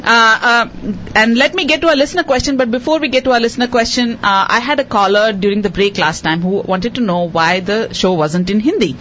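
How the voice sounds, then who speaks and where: A woman hosting a quiz show for children, repeating each question slowly and cheering the contestants on. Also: fairly high pitch, a bit bright, muffled recording, slight background noise. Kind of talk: hosting a radio quiz for kids.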